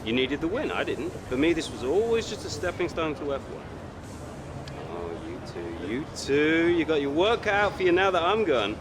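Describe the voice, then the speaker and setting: A man's voice: on the high side, big pitch swings, moderate pace, noisy background. An actor playing an arrogant young male racing driver in a video game cutscene, sarcastic and condescending. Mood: smug, bitter. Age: young adult.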